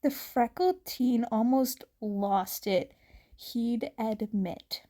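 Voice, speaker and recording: Slow, soft-spoken woman reading a story. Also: fairly high pitch. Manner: slow, quiet, calm reading. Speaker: podfic reader. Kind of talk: reading fan fiction aloud.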